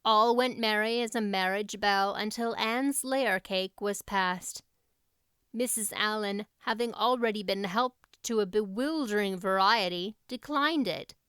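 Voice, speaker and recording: A woman's voice: mid-range voice, measured pace, fairly bright tone, clean tone, dry recording, studio quality. A woman narrating a classic novel as a scripted audiobook reading.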